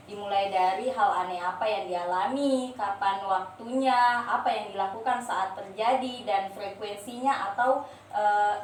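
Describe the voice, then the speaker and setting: A young woman, a nursing student, leading a group therapy role-play, giving instructions with frequent hesitations. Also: on the high side. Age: young adult. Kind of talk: guiding a role-play session. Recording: roomy, distant, faint noise.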